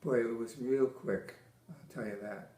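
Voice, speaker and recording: An older man from Massachusetts, a military veteran, answering interview questions with halting restarts, many fillers and dry teasing humor. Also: medium pitch, fairly even intonation, mellow. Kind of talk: oral history interview. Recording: echoey room.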